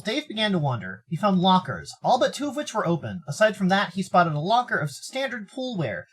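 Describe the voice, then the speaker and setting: An American man narrates a visual novel as a streamer would. His intonation is animated and moves around a lot, shifting between storytelling and casual commentary. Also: on the high side, breathy edge. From Arizona, United States.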